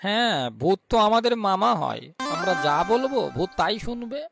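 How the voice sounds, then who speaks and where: A man playing a timid, easily frightened companion on a ghost hunt in a horror cartoon, with a creaky, hesitant delivery. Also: sits high, sing-song, normal speed, over music, heavily acted. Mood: doubtful. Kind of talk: animated horror story dialogue.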